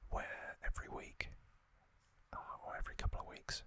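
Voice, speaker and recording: A man hosting an ASMR channel, whispering an informal, unhurried explainer in a hushed voice. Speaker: host of an ASMR trivia channel.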